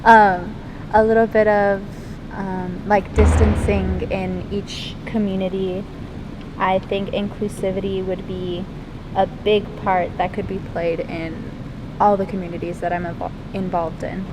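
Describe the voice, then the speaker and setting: A teenage girl answering interview questions at a middle pitch, stopping often to think with ums and likes. A loud, steady rumble runs under her voice throughout. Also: measured pace. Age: teens. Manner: hesitant, many ums and likes. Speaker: interviewee, lifeguard and swimmer. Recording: loud rumbling background noise.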